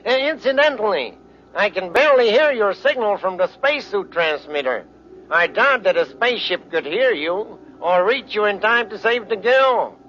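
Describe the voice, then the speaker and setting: An actor playing a smug space villain, sneering with mock politeness in an old-time radio serial. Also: male voice, sits high, lively intonation, moderate pace, sharp tone. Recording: dull, noisy old radio recording.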